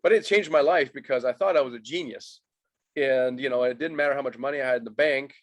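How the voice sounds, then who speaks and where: Brisk man from Germany, dry sound. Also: medium pitch, no echo, muffled recording. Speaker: guest investor. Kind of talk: telling his backstory.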